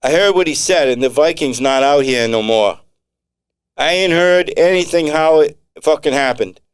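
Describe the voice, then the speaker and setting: Man reading the part of a tough, foul-mouthed clammer, high-pitched and threatening, smooth clear tone. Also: expressive, moderate pace, lightly acted. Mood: menacing. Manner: gruff, threatening, swearing. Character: hostile, foul-mouthed local bayman on the radio.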